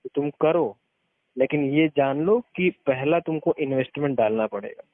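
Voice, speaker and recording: A man's voice: on the high side, normal speed, no room sound. A man giving casual, emphatic advice on a phone call, explaining and repeating his points for stress. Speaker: experienced former network marketer giving advice. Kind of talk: casual advisory phone conversation. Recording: muffled narrowband phone call recording.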